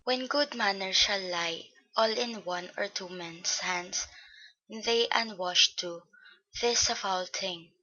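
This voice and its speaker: A woman playing a put-upon young servant in theatrical Shakespearean style, brisk and plain-spoken. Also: medium pitch, pushed and bright, lo-fi sound. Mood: grumbling. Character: cheery, put-upon young serving lad.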